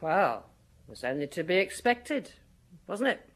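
An enormous, sullen concierge in middle age, in a radio drama. She speaks in a deep voice for a woman. Her delivery is curt, grudging and indifferent. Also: very animated, a little slow. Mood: sardonic, indifferent. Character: huge, surly middle-aged female concierge, sullen and indifferent.

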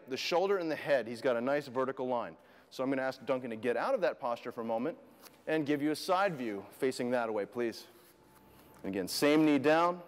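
A man teaching as an instructor, calmly walking through a demonstration step by step, explaining posture cues to camera. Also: fairly high pitch. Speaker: fitness and rehab instructor. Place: clinic studio with long reverb. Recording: reverberant room sound.

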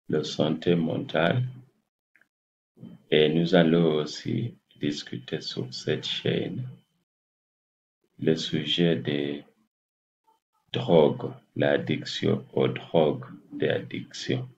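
Slow, gravelly, deep-voiced Kenyan man. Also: darkish tone. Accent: Kenyan English. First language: English. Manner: slow, halting, searching for French words. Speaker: health channel host. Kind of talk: casual address to camera. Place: small indoor room. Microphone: laptop webcam at desk distance. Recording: muffled lo-fi webcam audio.

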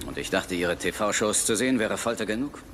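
A man's voice: fairly low pitch, normal speed, a little hiss or hum, natural voice. A man playing a wry secret agent in a dubbed action film, with sardonic remarks and clipped commands. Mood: dry mockery. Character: wry, unflappable male secret agent under fire. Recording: film soundtrack under loud music, engines.

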